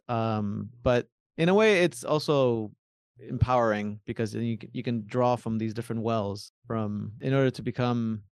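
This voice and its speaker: Smooth-voiced man, Miami-raised guest. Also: mid-range voice, darkish tone, no echo, studio quality. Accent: American, Miami. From Argentina, raised in Miami. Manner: rambling, many restarts and likes. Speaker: composer, guest. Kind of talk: casual interview answers.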